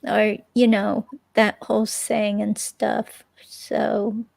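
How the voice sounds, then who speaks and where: A woman's voice: mid-range voice, little pitch movement, slow, gravelly, a little mellow, no echo. A woman playing a forlorn young ex-girlfriend in a police interview, rambling hesitantly and trailing off in a dramatic transcript reading. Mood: forlorn, wistful.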